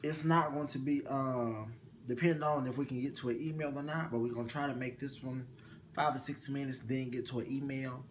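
A young man co-hosting a casual chat show, sassy and animated, his voice swinging up high, recorded in a small bedroom. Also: fairly quick, a little hiss or hum. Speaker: co-host.

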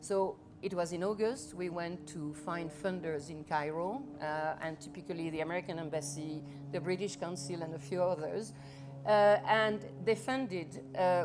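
A woman's voice: lowish voice, noisy background. A woman in her fifties from France with a French accent, speaking earnestly on a panel under a large tent, often restarting and repeating her words. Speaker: foundation head on a panel. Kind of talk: panel talk to a live audience. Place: large festival tent with audience.

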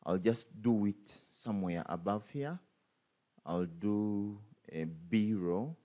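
A man's voice: on the low side, takes it slowly, mellow. A man teaching a coding tutorial over a screen recording, thinking aloud with many fillers and restarts. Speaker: programming tutor.

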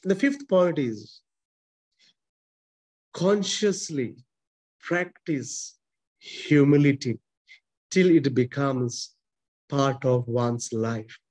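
A man's voice: mid-range voice, very slow, long pauses, a little mellow. A man in his forties with an Indian accent, a priest preaching a sermon over a video call from a small chapel, emphatic and repetitive. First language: not English. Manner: emphatic preaching, frequent repetition and restarts, gestures while talking. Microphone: webcam close up.

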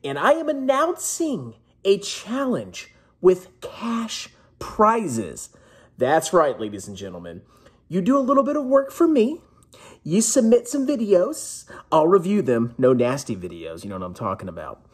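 A man's voice: high voice, huge pitch swings, a little slow, husky. A man in his thirties talking casually to camera like a jokey, brash showman, making an emphatic announcement. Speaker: personal finance vlogger, host. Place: small indoor room at home.

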